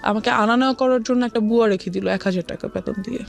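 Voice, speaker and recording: A woman's voice: a little high, fast, creaky, soft background music. A woman with a Bangladeshi accent, an interview guest telling a personal story and often switching between Bengali and English.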